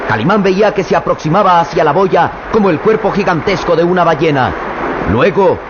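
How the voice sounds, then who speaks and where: A man with a Mexican accent tells the story of a radio serial in a measured, dramatic way, his voice slightly high. The old recording sounds muffled with steady noise behind him. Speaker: narrator. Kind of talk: scripted radio drama narration.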